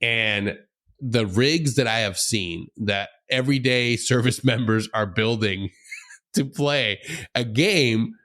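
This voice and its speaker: A man's voice: mid-range voice, takes it slowly, fairly bright tone. A man hosting a podcast interview, talking in an enthusiastic, casual way with frequent laughs and stumbling restarts. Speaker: podcast host.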